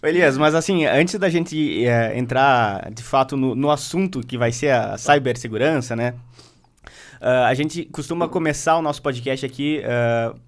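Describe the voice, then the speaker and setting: Podcast host, man with a mid-range voice, measured welcoming delivery. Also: medium pitch. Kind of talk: podcast host intro and interview.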